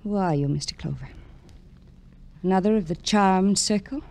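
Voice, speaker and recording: A woman's voice: mid-range voice, normal speed, gravelly, warm tone, close to an everyday voice. An actress playing a guarded, scornful young woman in an old radio crime drama, speaking in formal, theatrical phrases. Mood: bitter scorn. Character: bitter, guarded young female magazine illustrator. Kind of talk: 1940s-50s radio drama acting.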